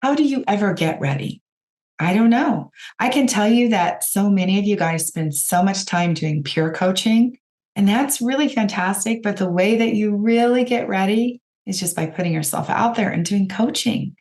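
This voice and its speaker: A woman with a raspy voice, hoarse from a cold, hosting a podcast and giving direct, encouraging coaching talk to her listeners. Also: mid-range voice, lively intonation, moderate pace, darkish tone. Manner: encouraging, direct, addresses listeners as you guys. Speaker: podcast host and business coach.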